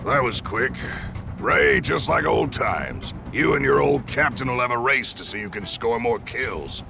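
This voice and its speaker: An actor playing a gruff, loyal old military captain, a weary resistance veteran who speaks plainly and with command, in a video game cutscene. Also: male voice, mid-range voice, lively intonation, unhurried. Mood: rallying. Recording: loud music over the voice.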